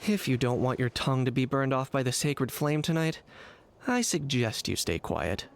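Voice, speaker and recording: A man voicing a cool, composed young warrior guide in a video game, measured and matter-of-fact. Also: mid-range voice, lively intonation, vocal fry, soft background music. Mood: cold warning. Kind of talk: video game character acting.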